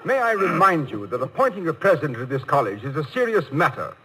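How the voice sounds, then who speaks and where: A man's voice: a little high, sing-song, fast, a bit bright, slight background noise, poor audio. An actor playing a courteous but exasperated college board chairman in a 1950s radio comedy, speaking in formal, precise phrases. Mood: stern. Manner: formal, orderly, precise speech. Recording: vintage 1950 radio broadcast.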